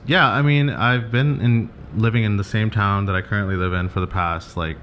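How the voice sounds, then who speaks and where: A man's voice: lowish voice, fairly even intonation, moderate pace, fairly bright tone, a little hiss or hum. A young man from the US, a guest on a podcast, telling family stories with self-mocking humor and chuckles. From United States. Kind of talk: casual interview chat.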